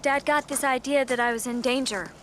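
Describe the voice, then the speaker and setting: An actress playing a guarded, grieving American daughter in a naturalistic radio drama. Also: female voice, high-pitched, fairly even intonation, brisk, a bit bright, slight background noise. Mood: subdued, reflective. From United States.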